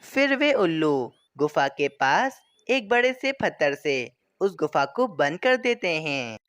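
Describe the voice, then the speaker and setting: Brief: woman narrator, low-ish, lively, slow, smooth and dry. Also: no room sound. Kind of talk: storybook narration.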